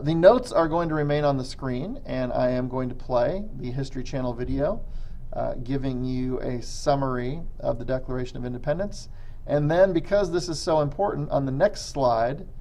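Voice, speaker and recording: An American man, a middle school history teacher, explaining deliberately and emphatically in a recorded lesson for students. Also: medium pitch, mellow.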